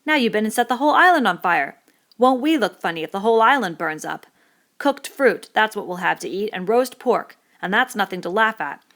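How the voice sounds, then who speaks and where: A woman's voice: mid-range voice, lively intonation, brisk, fairly bright tone, pitched above the performer's own voice. A woman reading an audiobook voices an indignant, bespectacled schoolboy who lectures the others in breathless working-class dialect.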